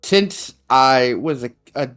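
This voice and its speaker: A young man co-hosting a podcast in casual chat, with a high-pitched voice that gets mistaken for a woman's, laughing often. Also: lively intonation, a bit bright, faint music behind. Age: young adult. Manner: laughs often, slow and drawn-out. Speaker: podcast co-host.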